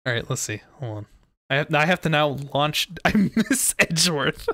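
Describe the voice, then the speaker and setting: A man's voice: fairly high pitch, animated, normal speed, bright, forceful tone, dry recording, clean studio sound. A man streaming a courtroom game, reading its lines aloud and joking between them with frequent laughter. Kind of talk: let's play commentary.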